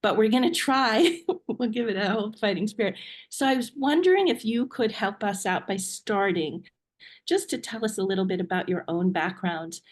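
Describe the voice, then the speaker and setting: A woman's voice: mid-range voice, normal speed. A woman hosting a video webinar, introducing a guest with frequent ums as she reads a bio. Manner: hesitant, many ums while reading a bio. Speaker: webinar host. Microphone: video call.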